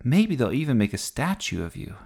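A man's voice: mid-range voice, sing-song, a little fast, creaky, darkish tone, breathy edge, pitched above the performer's own voice, exaggerated voice. A man voicing an earnest, admiring little girl, an eager and wondering playmate in a children's storybook. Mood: earnest admiration.

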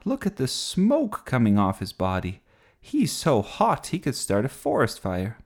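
A man's voice: medium pitch, huge pitch swings, measured pace, pitched above the performer's own voice, heavily acted. A man voicing a bossy, dramatic little girl at play in a children's storybook, grandly theatrical in manner. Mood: grumbling. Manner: grandly theatrical, mispronouncing big words.

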